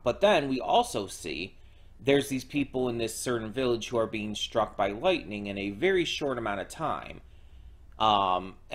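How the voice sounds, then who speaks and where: Lowish-voiced man recapping casually. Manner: halting, many fillers and restarts. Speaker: reaction video host. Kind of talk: casual recap of a show episode.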